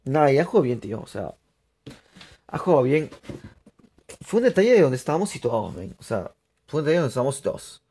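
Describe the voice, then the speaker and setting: A young man from Peru, chatting excitably to viewers through live gameplay commentary. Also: mid-range voice, fairly quick, long pauses, slight background noise. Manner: chatty, excitable, laughs often, addresses viewers. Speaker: streamer.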